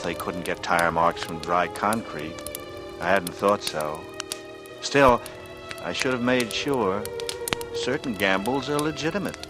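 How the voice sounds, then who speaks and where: Deep-voiced brooding noir man. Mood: tense, calculating. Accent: American. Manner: intense, measured, clipped confessional delivery. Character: brooding, calculating male writer with a smooth charm. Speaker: lead actor and narrator. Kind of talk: 1940s radio noir acting, first-person narration. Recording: vintage radio drama, music underneath. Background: dramatic music.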